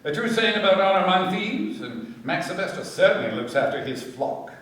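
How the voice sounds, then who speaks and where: A man playing a shrewd, composed detective inspector in a radio play, speaking with brisk, measured authority. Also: high voice, big pitch swings, a bit bright. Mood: measured, knowing. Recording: very echoey, distant from microphone.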